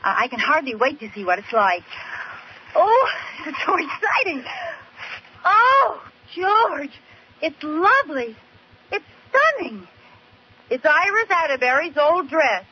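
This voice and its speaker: An actress playing a scheming, excitable American housewife, wisecracking and dramatic in 1940s radio comedy style. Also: female voice, high-pitched, slow, pauses often, full character voice. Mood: excited. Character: scheming, excitable young housewife, playful and melodramatic. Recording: muffled vintage radio recording with hiss.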